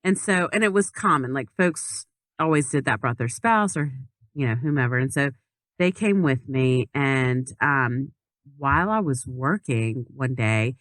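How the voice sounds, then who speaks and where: A woman's voice: extremely low pitch, animated, a little slow, a bit bright, no room sound. A woman telling a travel story as a podcast guest in casual chat, with lots of fillers and restarts.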